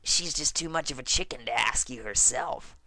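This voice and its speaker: A man's voice: medium pitch, moderate pace, gravelly, bright, forceful tone, dry recording, pitched above the performer's own voice, exaggerated voice. A man voicing a cheeky, nonchalant young pegasus mare pilot in a teasing, casual way for a fan fiction reading. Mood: breezy.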